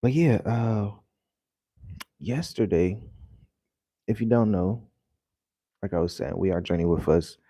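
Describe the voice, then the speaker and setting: A man fresh out of bed talks loosely to his viewers, his voice low and heavy with the morning. The tone is mellow and unhurried, full of ums and restarts. The sound is close and dry with no room around it. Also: husky, no echo. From United States. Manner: rambling, loose and conversational with many fillers. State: just woke up, voice deeper than usual. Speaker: vlogger. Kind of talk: casual vlog talk to viewers. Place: home in the early morning.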